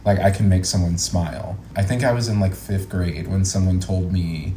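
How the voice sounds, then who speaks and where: A man's voice: low voice, normal speed, mellow. A man in his late twenties chatting casually as an interview guest, earnest and encouraging with a joking streak. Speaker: guest, actor and producer. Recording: roomy echo, faint background noise.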